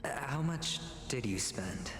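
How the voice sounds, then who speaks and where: Man playing a hushed, defiant young slave, echoing. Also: medium pitch, animated, a little slow, close to an everyday voice. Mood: quietly daring. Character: young enslaved man, defiant, wary, daring gambler. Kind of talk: video game cutscene acting. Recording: long echoing hall reverb, faint music.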